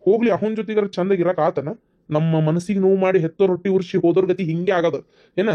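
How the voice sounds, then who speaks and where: Fast, high-pitched, unforgiving grandfather played by a man. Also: fairly even intonation, lightly acted. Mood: stern, bitter. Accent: North Karnataka. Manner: scolding, dismissive. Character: stern, proud, unforgiving grandfather and husband. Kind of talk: cartoon family drama acting. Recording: dull, muffled lo-fi sound.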